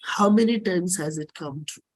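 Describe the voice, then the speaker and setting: A performer with an Indian accent and a husky voice, giving a dramatic, deliberate reading of a personal play script. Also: mid-range voice. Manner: measured, deliberate, theatrical phrasing.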